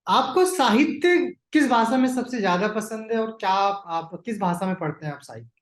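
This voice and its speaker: A man with a fairly high voice hosts an interview from a roomy space that echoes. He is polite and deferential, and he keeps affirming his guest as he leads into each question. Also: animated, fairly quick. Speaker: host and platform founder. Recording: roomy echo.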